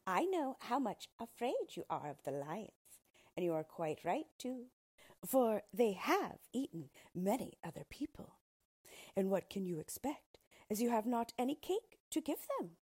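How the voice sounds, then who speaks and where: A woman voicing an ugly little yellow dwarf man from a storybook, smug, sly and disdainful, speaking in a gloating, mock-polite, emphatic way. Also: on the high side, very animated, measured pace, husky, pitched above the performer's own voice, heavily acted. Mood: knowing, taunting. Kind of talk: storybook character reading.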